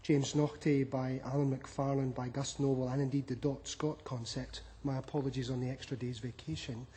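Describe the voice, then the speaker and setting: A man in the audience at a forum, asking his question in a formal, measured way. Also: mid-range voice, flat delivery, dark tone. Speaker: audience member. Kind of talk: question from the floor. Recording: long hall reverb.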